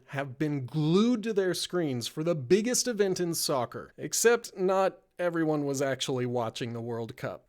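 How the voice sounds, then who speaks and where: A man's voice: fairly high pitch, a little mellow. A man hosting a comedic news show, delivering scripted commentary with wry, sardonic asides.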